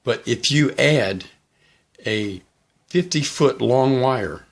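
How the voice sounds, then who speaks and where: A middle-aged man casually explaining and demonstrating as a hobbyist vlogger, in a plain-spoken, unhurried way. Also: medium pitch, lively intonation, vocal fry. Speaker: vlogger, shortwave radio hobbyist.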